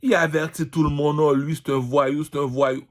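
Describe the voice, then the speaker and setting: A slightly high-voiced man rants informally. He is boastful and slangy, telling stories with emphatic repetition and pointed rhetorical questions. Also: flat delivery, normal speed. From Canada, immigrated at age five. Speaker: singer and vlogger. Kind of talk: informal rant with storytelling.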